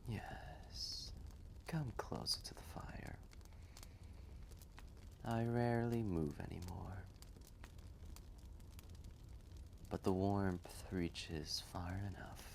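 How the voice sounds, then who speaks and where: A man voicing an ancient, gentle, wise male dragon in a roleplay story, speaking in a hushed, measured, often breathy voice with a kindly manner. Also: fairly even intonation, slight background noise, full character voice. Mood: tender, reflective. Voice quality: low, gravelly, often breathy and whispery.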